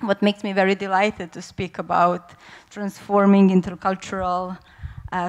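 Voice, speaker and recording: Young woman from ex-yugoslavia giving a talk, a bit nervous, normal pitch. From former Yugoslavia. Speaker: conference speaker, researcher. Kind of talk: conference presentation.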